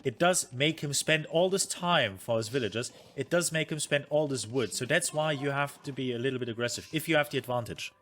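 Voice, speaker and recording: A man's voice: mid-range voice, a little fast, few pauses, a bit bright, a little hiss or hum. A man streaming a review of his own strategy game match, thinking aloud with many fillers, being hard on himself and answering his chat. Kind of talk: casual game commentary.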